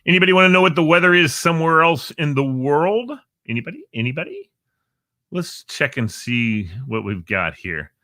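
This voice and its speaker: A man's voice: fairly high pitch, sing-song, moderate pace, sharp tone, no echo, studio quality. A man hosting a casual show, rambling playfully with jokey asides about times and weather. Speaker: show host. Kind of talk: casual live show chat. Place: Northern Virginia.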